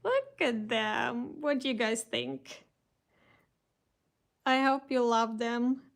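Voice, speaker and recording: Woman, Russian accent, fairly high voice, chatty live-stream host, lots of fillers and restarts. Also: takes it slowly, creaky. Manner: chatty, greets viewers by name, many fillers and restarts. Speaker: craft shop owner hosting a live kit reveal. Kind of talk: casual live-stream chat.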